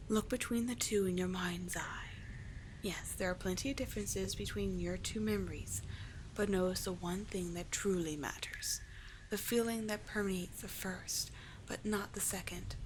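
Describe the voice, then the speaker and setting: A cursed sea witch, played by a woman, speaks in a breathy, soothing murmur that often slips into a whisper. She is regal and tenderly persuasive, with the theatrical delivery of an ASMR roleplay. A noticeable low rumble sits behind the voice. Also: lightly acted. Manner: slow, soothing, theatrical endearments. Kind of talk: ASMR roleplay, scripted monologue.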